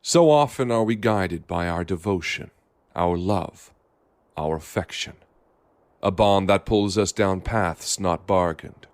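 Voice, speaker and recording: Solemn dark-fiction narrator played by a man, very low voice, unhurried and portentous delivery. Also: no room sound. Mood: grave, brooding. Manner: slow, grave, portentous. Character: solemn, brooding male storyteller of dark fiction. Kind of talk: dramatic scripted prologue narration.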